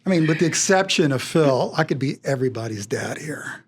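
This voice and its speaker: A man's voice: a little high, expressive, fairly quick, breathy edge. A man in his fifties, directing an office podcast, asking quiz questions and making dry, wry quips. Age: 50s. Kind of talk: casual podcast banter.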